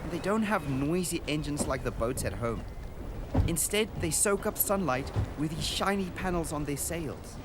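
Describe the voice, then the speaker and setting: Animated, high-voiced earnest boy traveler played by a man. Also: moderate pace, background noise, natural voice. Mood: wonder-struck. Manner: earnest, descriptive, full of wonder. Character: earnest, wonder-struck boy traveler recording for his sister. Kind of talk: radio drama acting with narrated audio diary.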